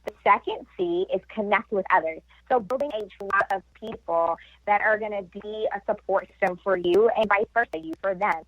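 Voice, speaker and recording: A woman's voice: medium pitch, moderate pace, few pauses, fairly bright tone, no room sound, muffled recording. A woman guest on a radio talk show, giving health and wellness advice in an explanatory way, with frequent fillers and tag questions.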